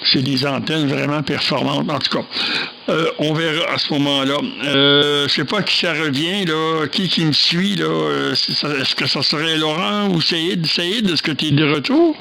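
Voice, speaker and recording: A man with a Quebec French accent chatting casually on amateur radio, haltingly and repeating words. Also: a little high, gravelly. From Quebec, Canada. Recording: faint noise, clicks on radio contact.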